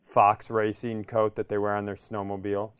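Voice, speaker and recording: Smooth-voiced man chatting, recorded close and dry. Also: fairly low pitch, completely flat delivery, normal speed, dry recording. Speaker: comedy podcast co-host. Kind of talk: casual chat and storytelling.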